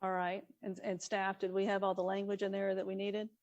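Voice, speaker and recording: A woman's voice: mid-range voice, monotone, fairly quick, gravelly, lo-fi sound. A woman chairing a public meeting, talking informally and tentatively as she looks for agreement. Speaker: commission chair. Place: online public meeting call. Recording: faintly noisy online meeting audio.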